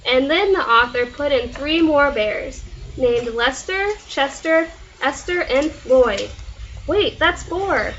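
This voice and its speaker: A young woman with a high-pitched voice reads aloud to a child. She prompts and corrects patiently as she goes. The recording is lo-fi and muffled, with clear background noise. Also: expressive, slow, a bit bright. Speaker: older cousin reading aloud to a child. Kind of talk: read-aloud storytime with a child. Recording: dull lo-fi home recording with noise.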